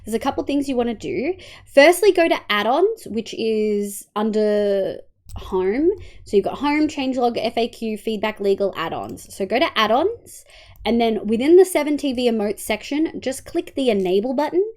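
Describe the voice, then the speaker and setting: Congested Australian woman, high lively voice. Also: moderate pace. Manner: chatty, talks to chat, hums to herself. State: congested, nasal. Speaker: livestreamer. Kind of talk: casual livestream chat and walkthrough.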